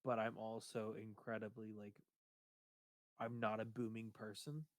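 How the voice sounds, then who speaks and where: A man with a booming voice chatting casually on a stream, rambling and hesitant, with many fillers and restarts. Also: flat delivery, measured pace, dry recording. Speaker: streamer discussing his game character. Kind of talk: casual chat.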